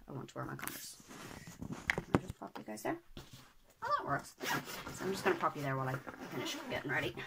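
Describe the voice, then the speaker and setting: A young woman in her twenties, hoarse and low-pitched, rambling cheerfully to her camera from a small bedroom. She talks in loose, self-deprecating asides full of ums, and a faint hiss sits under her voice. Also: poor audio. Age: 20s. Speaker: vlogger. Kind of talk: casual vlog chat. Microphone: laptop or phone camera at desk.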